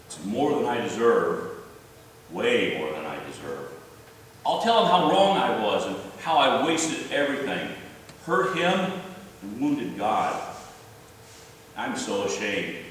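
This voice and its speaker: A man playing a remorseful, self-blaming prodigal son in a church readers theater monologue, halting and emphatic as he confesses. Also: on the low side, very animated. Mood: ashamed, resolving. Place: large church room, live before congregation. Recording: echoey church hall from far off, faint noise.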